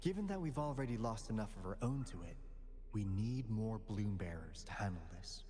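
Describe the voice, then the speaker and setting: An actor voicing a theatrical, playful and knowing guardian of a secret order in a video game, with dramatic pauses and flourishes. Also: male voice, lowish voice, animated, mellow, long reverb tail, slight background noise, close to an everyday voice. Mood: grave, urgent.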